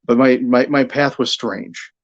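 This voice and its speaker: A slightly lo-fi recording of a middle-aged American man with a mid-pitched voice. He talks as an interview guest, candid and self-deprecating. His delivery is conversational, with halting stretches as he tells his story. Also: steady tone. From Buffalo, New York area, United States. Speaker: sports radio play-by-play broadcaster, interview guest.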